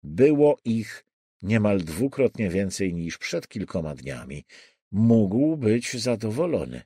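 Guy narrating a thriller audiobook, slow n suspenseful, super dry close studio sound. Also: fairly low pitch, big pitch swings, dark tone, breathy edge, no room sound. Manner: deliberate, suspenseful pauses. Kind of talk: dramatic scripted thriller narration.

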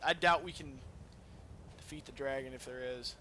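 A man's voice: mid-range voice, moderate pace, slight background noise. A man giving casual, profane gameplay commentary as a let's play host. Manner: profane, animated trash-talk.

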